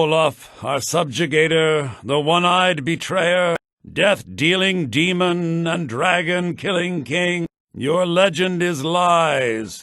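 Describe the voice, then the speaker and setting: An actor playing an earnest, theatrical head bard in a fantasy video game, speaking formally with a slightly raspy voice. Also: male voice, high voice, a bit bright, dry recording, clean studio sound, full character voice. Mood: declaiming. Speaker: game character, bard college leader.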